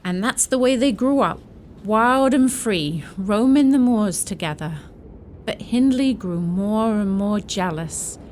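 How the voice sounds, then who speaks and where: A woman's voice: mid-range voice, animated, takes it slowly, darkish tone, slight background noise. A woman playing a reflective storyteller, narrating a scripted audio drama about a family's past.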